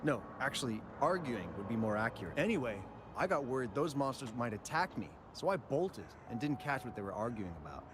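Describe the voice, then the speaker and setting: A man's voice: medium pitch, quick pace, hardly any pauses, noisy background, close to an everyday voice. An actor playing a nervous, chatty young miner telling what he saw, in a game voiceover. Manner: lively, storytelling, jumpy. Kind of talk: game voice acting. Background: game music.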